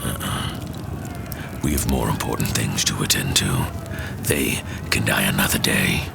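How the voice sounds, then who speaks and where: An actor playing a proud, imperious, short-tempered fire titan warlord in a fantasy audio drama, with a commanding, scornful delivery. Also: male voice, rumbling low, unhurried, gravelly, full character voice. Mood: dismissive. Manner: commanding, haughty. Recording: loud music behind the voice.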